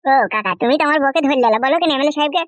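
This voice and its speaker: A man's voice: extremely high pitch, very fast, fairly bright tone, dry recording. A man voicing a hot-headed, gullible village husband in a comic cartoon, blustering and whining in a rural West Bengal accent. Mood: indignant. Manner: blustering, whining, mispronounces words.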